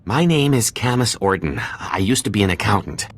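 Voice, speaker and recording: An actor playing a timid, panicky accountant on the run in a video game, shaken, breathless and stammering. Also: male voice, fairly low pitch, animated, fairly quick. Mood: shaken, nervous. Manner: nervous, stammering. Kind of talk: video game cutscene acting.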